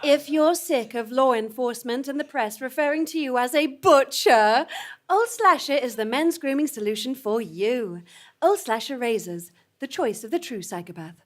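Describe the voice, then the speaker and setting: A woman's voice: high-pitched, lively intonation, moderate pace. A woman announcer delivering a scripted spoof advert in a polished sales manner.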